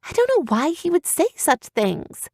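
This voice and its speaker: Woman voicing a fiery young heroine, much higher voice, urgent, defiant, swooping. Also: big pitch swings, normal speed, a little mellow, no echo, studio quality, full character voice. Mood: upset.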